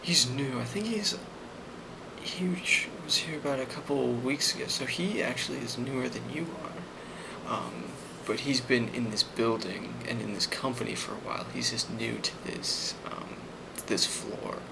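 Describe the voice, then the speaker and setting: A man playing a friendly, rambling office boss in an ASMR role play, speaking softly and hesitantly with a reassuring manner and a creaky voice. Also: medium pitch, a little slow. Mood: calm, reassuring. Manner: soft-spoken, hesitant, reassuring. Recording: loud constant background noise.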